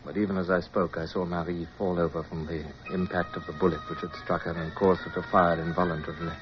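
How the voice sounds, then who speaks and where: A man's voice: deep voice, level tone, fast, over music, close to an everyday voice. An actor playing a resolute British naval officer in a radio drama, who commands and narrates his own adventure. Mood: grave narration. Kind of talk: radio drama acting and first-person narration. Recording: dull, noisy old radio recording.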